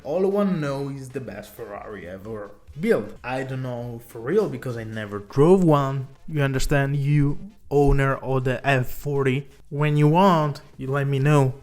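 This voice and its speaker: A man from Italy with an Italian accent, chatting enthusiastically to camera about cars in loose non-native English. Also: medium pitch. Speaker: car vlogger. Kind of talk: casual talk to camera.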